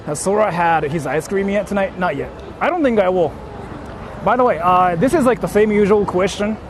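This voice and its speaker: A man's voice: high-pitched, lively intonation, moderate pace. A young man from Japan with a Japanese accent, chatting casually as a live streamer, informal, repetitive and free with swearing. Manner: repeats himself, informal, swears freely. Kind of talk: casual live-stream chat, answering viewer comments. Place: outdoors on a busy city street at night. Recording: street noise, traffic and crowd.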